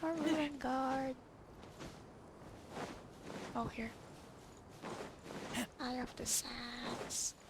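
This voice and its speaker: A woman's voice: high-pitched, fairly even intonation, drawn-out words, long pauses, very noisy. A woman gamer giving casual gameplay commentary, muttering to herself in fragments that trail off. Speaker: gamer playing and reacting. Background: video game music.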